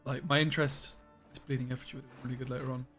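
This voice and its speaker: A man's voice: mid-range voice, flat delivery, racing, a bit bright, faint music behind. A young man chatting casually with viewers on a live game stream from his bedroom, rambling and greeting people by name.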